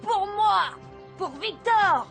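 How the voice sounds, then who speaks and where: A very high-voiced cartoon boy, resourceful and bossy. He fires up his team like a little coach, commanding and rousing, with music playing loudly behind him. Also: lively intonation, very slow, heavily acted. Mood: rousing, solemn. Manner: rousing, commanding pep-talk delivery. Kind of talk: French animated series voice acting. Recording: music under the voice. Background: loud background music.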